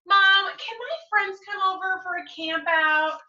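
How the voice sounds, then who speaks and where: A woman voicing an excitable young girl from a picture book, dramatic and exclaiming. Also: extremely high pitch, a little slow, voice pushed up, full character voice. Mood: eager. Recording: roomy echo, distant mic, muffled audio.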